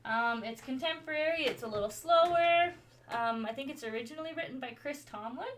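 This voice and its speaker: Chatty woman teaching gospel piano. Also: brisk. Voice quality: higher voice. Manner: friendly, chatty, with frequent "um" and small laughs. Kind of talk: online gospel piano lesson. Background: piano playing.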